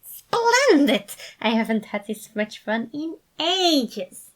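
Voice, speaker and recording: Casting: female reader as manic, mischievous male trickster; excitable, high-leaping, gushing, storybook character. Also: slow pace, exaggerated voice. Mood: gleeful, eager. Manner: excitable, giggly, gushing delivery. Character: gleeful, manic, mischievous man, the dark creative side. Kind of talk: fan fiction character reading.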